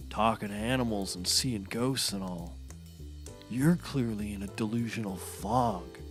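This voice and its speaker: An actor playing an earnest paramedic in a scripted comedy, speaking with deadpan concern. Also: male voice, mid-range voice. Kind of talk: scripted comedy audio acting.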